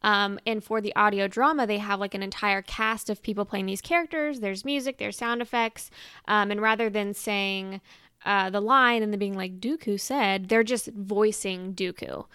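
A woman's voice: mid-range voice, normal speed, bright, forceful tone, clear, resonant tone, no echo, studio quality. A woman co-hosting a podcast in casual chat, speaking informally with plenty of "like". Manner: informal, peppered with "like". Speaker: podcast co-host.

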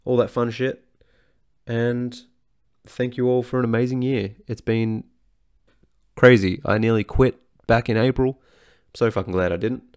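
A hoarse man, talking frankly and swearing casually as he hosts his solo podcast. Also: medium pitch, fairly even intonation, measured pace, long pauses, a little mellow. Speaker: personal trainer and podcast host.

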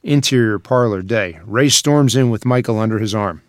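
A man's voice: fairly low pitch, moderate pace, no room sound, clean studio sound. A man reading out screenplay scene headings and stage directions as a scripted narrator.

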